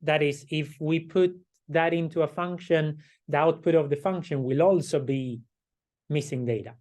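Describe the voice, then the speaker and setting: A middle-aged man, hoarse and losing his voice, giving a class lecture and explaining each step slowly, often checking with "right?". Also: on the high side, darkish tone. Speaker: instructor.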